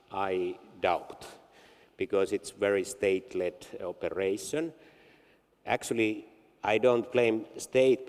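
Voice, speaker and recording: A man, an English speaker, answering at a panel in a halting way with many fillers and restarts. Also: low voice, a little mellow. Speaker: regional council representative. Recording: long hall reverb.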